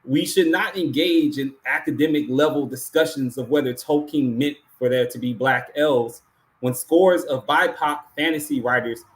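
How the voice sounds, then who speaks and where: A man with a mid-range voice speaks as the host of an awards show. He delivers prepared closing remarks to his audience with earnest, deliberate phrasing, repeating key words for emphasis. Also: mid-range voice, poor audio.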